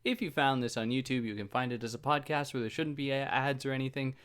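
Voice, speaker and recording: A man's voice: medium pitch, fairly even intonation, fast, a bit bright, clean tone. Male podcast host, conversational lecture delivery, easygoing and self-deprecating, prone to tangents. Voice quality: soothing voice. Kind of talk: casual explanatory lecture.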